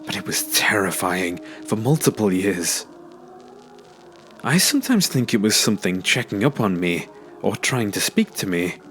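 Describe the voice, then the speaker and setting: A man's voice: lowish voice, lively intonation, normal speed, a bit bright. A man reading a first-person scary story aloud as a scripted narration. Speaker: narrator reading submitted ghost stories. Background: music.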